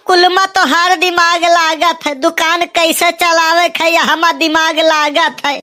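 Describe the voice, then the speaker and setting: A man's voice: extremely high pitch, bright, forceful tone, full character voice. A man playing a tiny grown-up son in a comic cartoon, boastful and whining, with an Awadhi accent. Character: tiny grown-up son, cocky, aggrieved, itching to marry. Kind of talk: amateur comic cartoon dub in dialect.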